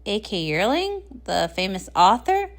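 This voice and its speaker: A woman's voice: mid-range voice, very animated, measured pace, pitched below the performer's own voice. A woman voicing an earnest, bookish male pony scholar who sounds hesitant and puzzled in a comic read-along. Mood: baffled, studious.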